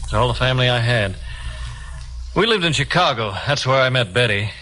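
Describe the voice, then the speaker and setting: Bright, easygoing man in radio drama. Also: mid-range voice, expressive, fairly quick, low-quality recording. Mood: casual, subdued. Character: easygoing, reassuring young husband and host. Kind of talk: 1950s radio drama acting. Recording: old 1950s radio recording.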